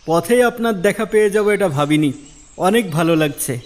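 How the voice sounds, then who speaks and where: A man's voice: sits high, expressive, brisk. A man playing an earnest, respectful villager in a moral cartoon, explaining himself politely. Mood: earnest, grateful. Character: earnest, respectful male villager seeking a judge's ruling. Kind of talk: Islamic moral cartoon dialogue.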